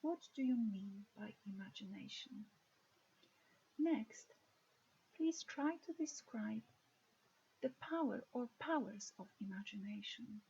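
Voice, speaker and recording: A woman from Poland with a Polish accent, giving a recorded course lecture in a measured, careful way with occasional restarts. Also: on the high side, expressive, warm tone, breathy edge, dull audio.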